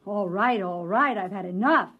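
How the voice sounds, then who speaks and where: An actress playing an exasperated, skeptical, sharp-tongued woman in a 1950s radio drama. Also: medium pitch, moderate pace, natural voice. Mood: indignant. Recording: dull old-time radio recording.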